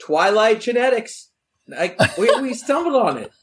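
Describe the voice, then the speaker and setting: A man's voice: high-pitched, big pitch swings, normal speed. A man chatting in casual, joking banter.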